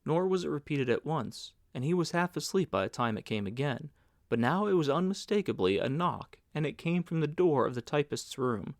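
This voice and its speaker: A man's voice: fairly high pitch, expressive, moderate pace, no room sound, studio quality. A man reading literary fiction aloud as an audiobook narrator, in scripted narration.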